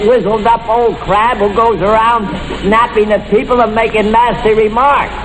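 A man's voice: sits high, fairly even intonation, normal speed, fairly bright tone. A man playing an exasperated, sarcastic quizmaster in vintage radio comedy, emphatic and wisecracking, on a noisy old broadcast. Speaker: quiz show host. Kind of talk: 1940s radio comedy acting.

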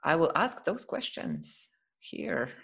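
A woman's voice: sits low, wildly swooping pitch, slow pace, vocal fry. A woman from Poland hosting a podcast, talking in an earnest, reflective way and often breaking into laughter. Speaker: podcast host. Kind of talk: casual podcast chat.

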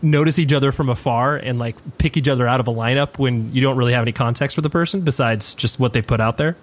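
Male podcast host, thirties, mid-range pitch. Casual, slangy, rambling conversational delivery. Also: quick pace.

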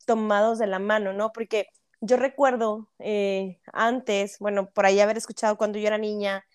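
A woman's voice: medium pitch, flat delivery, normal speed, smooth, no room sound, clean studio sound. A woman from Monterrey with a Mexican Spanish accent, hosting a casual podcast interview in a chatty way full of fillers. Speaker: podcast host.

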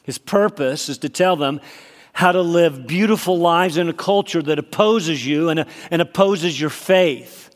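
Nasal pastor preaching in a hall. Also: male voice, fairly high pitch, unhurried. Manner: emphatic, rising for key points. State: slightly nasal from a cold. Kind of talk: sermon. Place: church sanctuary. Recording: long hall reverb.

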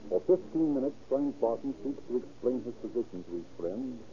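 An actor playing a portentous crime-story narrator, solemn and dramatic, in scripted 1930s radio style. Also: male voice, medium pitch, lively intonation, fairly quick, mellow, lightly acted. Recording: muffled old radio recording.

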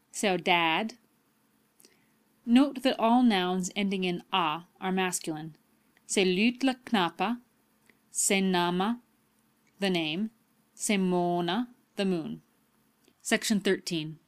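Slow, careful woman reading a textbook. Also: fairly low pitch. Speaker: volunteer audiobook reader. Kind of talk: scripted language-textbook narration.

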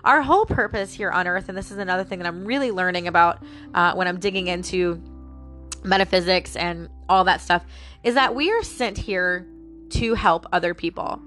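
A woman's voice: lowish voice, moderate pace, fairly bright tone, faint music behind. A woman with a stuffy nose and a slightly nasal voice, rambling and musing aloud to her listeners as host of a personal talk show. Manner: chatty, musing aloud, addresses listeners as guys. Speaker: podcast host.

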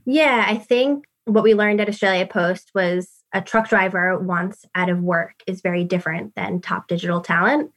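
A woman's voice: mid-range voice, moderate pace, few pauses, a bit bright. A woman in her thirties with a New York accent, telling her career story as a podcast guest in a chatty way, with many "like"s. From New York City. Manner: chatty, fillers like "like". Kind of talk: casual interview talk.

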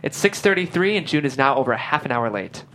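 A woman's voice: a bass voice, animated, fast, fairly bright tone, slight background noise. A woman reading stage directions as scripted narration at a table read.

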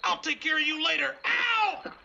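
A noisy, muffled recording of a man acting a crooked witness in a radio play. He is sarcastic and defiant, with a creaky, high voice. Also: expressive, normal speed, full character voice. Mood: pained, yelling. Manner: sneering, sarcastic. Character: sneering crooked male witness, defiant under a beating. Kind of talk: old-time radio drama acting. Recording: dull, very noisy home recording.

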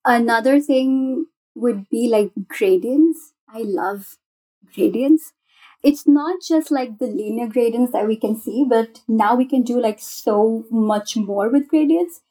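Woman, fairly high voice, gushing casual explainer, developer guest. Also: takes it slowly, mellow, low-quality recording. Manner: enthusiastic, gushing.

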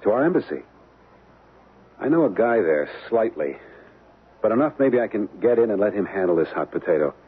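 An actor playing an uneasy young American husband in an old-time radio drama, speaking in a husky, hesitant, colloquial way. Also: male voice, on the low side, big pitch swings, brisk, pauses often, heavily acted. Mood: wary. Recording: muffled, band-limited old radio broadcast.

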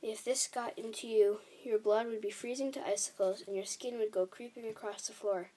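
A woman voicing an excitable, kindly male giant in a children's storybook, emphatic and exclamatory, with quaint muddled grammar. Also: fairly high pitch, normal speed, breathy edge. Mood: indignant, vehement.

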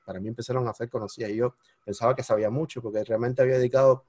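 A man with a Cuban accent, a podcast guest telling his story in lively casual conversation and bursting into high exclamations at the big moments. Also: racing, no room sound. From Cuba. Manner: animated, jumps into high-pitched exclamations when retelling moments.

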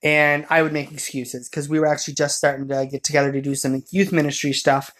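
A man telling a personal story in casual conversation, in a rambling, halting way, often correcting himself as he goes. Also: on the high side, level tone, a bit bright.

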